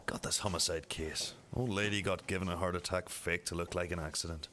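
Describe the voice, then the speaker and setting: Man playing a low-voiced, probing police detective. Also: steady tone, sharp tone, slight background noise. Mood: musing. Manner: measured, formal questioning. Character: methodical male police detective, probing and matter-of-fact. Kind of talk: amateur radio drama acting.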